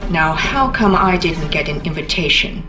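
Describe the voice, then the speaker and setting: A woman's voice: low-pitched, expressive, normal speed. Cold, vengeful young psychic woman, echoey. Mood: cold, sardonic. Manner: cold, menacing, sardonic. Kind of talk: video game character voice acting. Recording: roomy, distant, music underneath.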